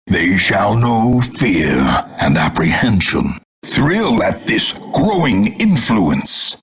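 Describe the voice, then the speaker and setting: An actor playing a grim, scornful older male announcer in a video game, grandiloquent and portentous, with a gravelly, husky voice. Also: fairly low pitch, fairly bright tone, exaggerated voice. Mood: menacing relish. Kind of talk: theatrical scripted game announcements.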